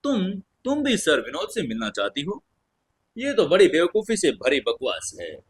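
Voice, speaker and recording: A male narrator plays a young female student of magic in a fantasy audio story. She is haughty and mocking, talking down with open scorn. The voice has a husky edge. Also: fairly high pitch, wildly swooping pitch, measured pace, pitched above the performer's own voice, full character voice. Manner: scornful, condescending.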